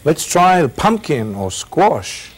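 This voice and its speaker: A man's voice: fairly high pitch, big pitch swings, slow pace. Man hosting a cooking demonstration. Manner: friendly step-by-step instructions. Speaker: cooking show host.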